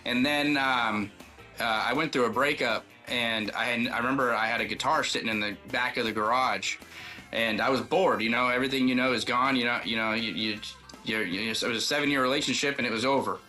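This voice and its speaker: Male country songwriter guest, medium register, bright forward tone, rambling conversational storyteller. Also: medium pitch, steady tone, brisk, soft background music, rough recording. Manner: loose, rambling, informal. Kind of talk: casual interview chat telling personal stories.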